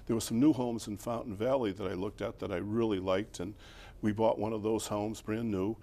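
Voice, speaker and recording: A man's voice: on the low side, fairly even intonation, a little fast, dark tone. Older Boston man, chatty storyteller. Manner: rambling with fillers and chuckles. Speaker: former mayor, guest. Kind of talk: interview, relaxed storytelling.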